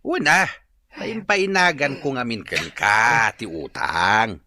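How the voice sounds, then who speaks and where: A man's voice: mid-range voice, animated, slow pace, pushed and bright, exaggerated voice. A man acting a chummy, tipsy village drinking buddy in an Ilocano radio comedy drama. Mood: chummy, confiding.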